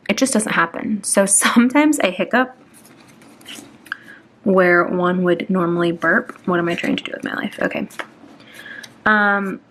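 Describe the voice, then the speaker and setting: A woman's voice: lowish voice, a bit bright. A young woman in her twenties chatting while she decorates a planner, rambling and self-conscious, with lots of ums and restarts. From Atlanta, Georgia, US. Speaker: planner vlogger.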